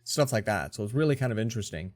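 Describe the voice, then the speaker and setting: A man's voice: fairly low pitch, lively intonation, quick pace. Rambling man in his forties. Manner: rambling, chatty storytelling. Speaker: let's play host. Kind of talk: casual gameplay commentary.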